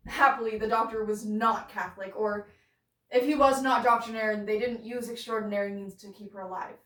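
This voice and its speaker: A woman's voice: medium pitch, fairly even intonation, brisk, a bit bright, lightly acted. Woman acting bitter grieving woman in echoey room. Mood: sorrowful, restrained. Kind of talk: dramatic stage monologue. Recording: roomy echo, distant microphone.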